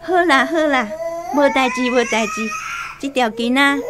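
Actress, kind soothing granny, high voice, Taiwanese accent, radio drama, noisy background. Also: female voice, normal speed, lightly acted. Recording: noisy background sound effects.

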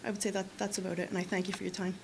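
A woman's voice: mid-range voice, little pitch movement, very fast, gravelly, very noisy. A woman in her forties giving public comment at a school board meeting from a podium microphone in a large meeting room, earnest and full of restarts. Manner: earnest, many fillers and restarts.

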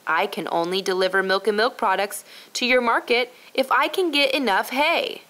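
A woman's voice: fairly high pitch, moderate pace, pushed and bright. A woman voicing a friendly, earnest male dairy farmer in a children's storybook. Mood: worried. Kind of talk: children's storybook read-aloud.

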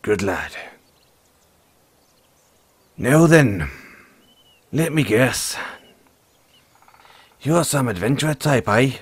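Guy doing a gruff friendly dragon tamer for a fantasy audio roleplay, folksy, swingy pitch, really dry close sound. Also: expressive, extremely slow, pauses often, no room sound, heavily acted. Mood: stern, wary. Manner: folksy, teasing, calls the listener lad. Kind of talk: audio roleplay, speaking directly to the listener.

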